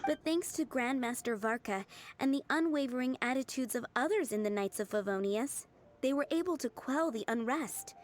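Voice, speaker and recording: An actress voicing a kind young female cook in a video game, speaking in a gentle, earnest way. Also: high voice, moderate pace, a little hiss or hum, close to an everyday voice.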